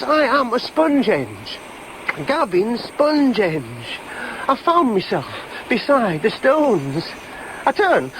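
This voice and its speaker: A man's voice: extremely high pitch, slight background noise, full character voice, poor audio. An actor playing a rambling, rapturous man, musing in wonder on his own existence in a radio drama monologue. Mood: exalted.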